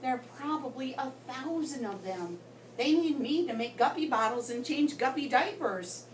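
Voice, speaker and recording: Woman reading aloud, roomy distant recording. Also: sits high. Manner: expressive, with dramatic pauses and emphasis. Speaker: guest story reader for children. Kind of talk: read-aloud storytelling to children. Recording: roomy, distant mic with background noise.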